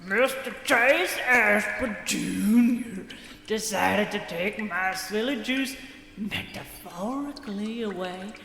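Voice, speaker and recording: An actress playing a drunk, rambling cartoon aunt in a comedic radio play, slurred and slow. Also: female voice, mid-range voice, a bit bright. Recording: echoey room with long reverb.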